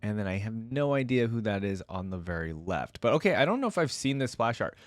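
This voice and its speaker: A man's voice: on the low side, big pitch swings, fairly quick, no echo. A man giving casual, off-the-cuff reaction commentary as a video host, thinking aloud in an informal, wryly bemused way.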